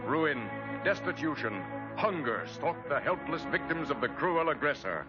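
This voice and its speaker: An American man narrates like a 1940s wartime film announcer, dramatic and emphatic. His voice sits fairly high and rises and falls widely. The soundtrack is dull and vintage, with loud music behind him. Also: sing-song, moderate pace. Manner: emphatic, dramatic newsreel-style delivery. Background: loud orchestral film score.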